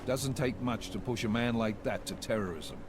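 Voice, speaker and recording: A man's voice: on the low side, normal speed, background noise, lightly acted. An actor playing a stern male agency director who gives firm, curt orders. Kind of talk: video game dialogue.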